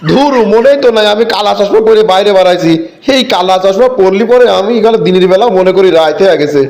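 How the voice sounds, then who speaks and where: A man's voice: high-pitched, fast, a little mellow. A man voicing a crafty, greedy village boss in a comic cartoon, bossy, with a rural Bangladeshi Bengali accent. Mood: sheepish.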